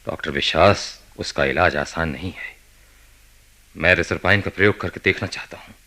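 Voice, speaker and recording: A man acting in a radio play as an intense, authoritative senior psychiatrist, speaking deliberately with probing, dramatic pauses. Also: low voice, sharp tone. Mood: intense, revelatory. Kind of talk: radio play acting, Hindi mixed with English.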